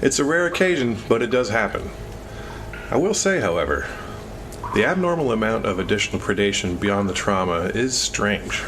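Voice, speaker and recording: A man's voice: on the low side, expressive, moderate pace, noisy background, lightly acted. A man playing a disheveled, matter-of-fact morgue technician in an audio drama, explaining things in clinical terms.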